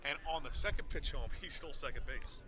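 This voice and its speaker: A man's voice: mid-range voice, fast talker, noisy background. A man giving color commentary on a baseball broadcast in an informal, reflective, conversational way. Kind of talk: conversational sports analysis.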